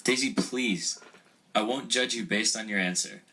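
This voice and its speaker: A man's voice: lowish voice, a little slow, bright, forceful tone, breathy edge, heavily acted. A young man playing an earnest young man who questions others in a student radio play. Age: young adult.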